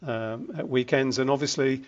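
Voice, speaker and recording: Man, older, British accent, measured casual talk, lo-fi sound. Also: mid-range voice, steady tone. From United Kingdom. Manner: measured, frequent ums and self-restarts. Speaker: interviewee, regional executive. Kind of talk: casual interview. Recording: muffled lo-fi recording.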